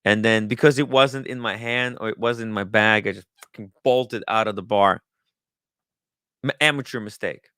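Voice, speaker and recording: Clear-voiced man chatting on a livestream. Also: on the low side, fairly even intonation, pushed and bright, dry recording, clean studio sound. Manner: halting, thinking aloud, occasional swearing. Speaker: livestream host. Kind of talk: casual livestream chat, reading viewer comments and riffing.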